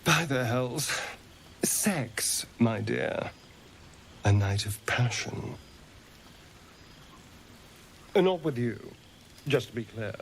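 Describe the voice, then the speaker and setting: A man's voice: mid-range voice, lively intonation, takes it slowly, gravelly, pauses often, slight background noise. A man playing a flamboyant, sardonic, pleasure-seeking rogue in a video game, speaking in a theatrical, disdainful drawl. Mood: mocking, flirtatious. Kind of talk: video game character acting.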